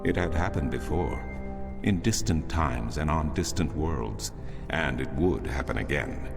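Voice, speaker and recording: An actor playing a solemn older priest in a fantasy game, telling ancient lore in a measured, formal, portentous way. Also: male voice, a bass voice, animated, gravelly, full character voice. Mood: grave. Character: solemn older male temple priest, a storytelling loremaster. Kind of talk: grand scripted fantasy narration. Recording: music behind the voice.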